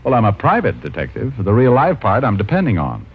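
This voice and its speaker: A man's voice: fairly low pitch, wildly swooping pitch, brisk, slight background noise. A man playing a wry, wisecracking American private detective, acting and narrating in an old-time radio drama. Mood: nervous joking. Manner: wry, self-mocking. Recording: dull old radio recording with music.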